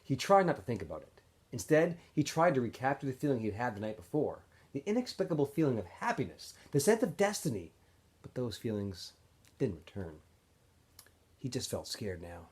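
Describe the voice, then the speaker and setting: A man reading a novel aloud as a teacher would for students, in an expressive, animated storytelling way with phrases that often trail off softer. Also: medium pitch, moderate pace. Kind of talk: expressive audiobook-style read-aloud narration.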